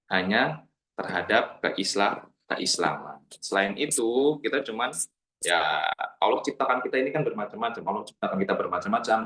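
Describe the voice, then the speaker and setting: A man teaching an online Islamic studies class. He explains slowly, repeats phrases for emphasis and recites Arabic passages. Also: on the low side, a bit bright, echoey room, poor audio.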